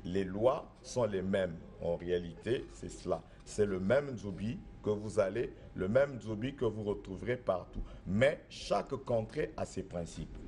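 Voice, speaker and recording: A man's voice: on the low side, animated, measured pace, few pauses, a little mellow, slight background noise. A middle-aged man from Congo, a traditional spiritual master, answering interview questions in an assertive, insistent way that repeats points. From Republic of the Congo.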